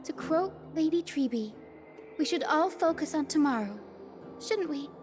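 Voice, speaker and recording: An optimistic young noble heroine from a fantasy game in a high voice. She speaks with earnest, courtly politeness while music plays behind her. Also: lively intonation, unhurried. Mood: earnest, hopeful. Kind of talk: scripted fantasy game voice acting.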